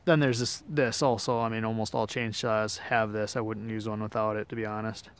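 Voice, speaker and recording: A man's voice: on the low side, little pitch movement, brisk, clean tone. A man giving a casual hands-on demonstration in a laid-back, plain-spoken, hesitant way, recorded outdoors on a handheld camera. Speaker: amateur product demonstrator. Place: outdoors in woodland.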